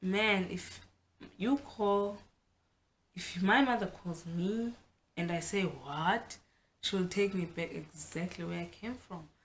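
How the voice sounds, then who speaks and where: A woman's voice: on the low side, slow, a little hiss or hum. A young South African woman whose first language is Xhosa, talking frankly and chattily to the camera in a casual home vlog, often restarting her sentences. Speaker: vlogger.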